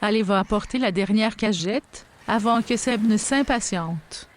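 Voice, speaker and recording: A woman's voice: medium pitch, measured pace, slight background noise, lightly acted. A woman playing a kindly, advising overseer of a young captive in an audio drama, reassuring and instructive.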